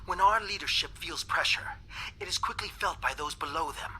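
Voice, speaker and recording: An actor playing a fearful dissident alien scientist in a game cutscene, speaking in a measured, grave manner. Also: male voice, a little high, sharp tone, a little hiss or hum.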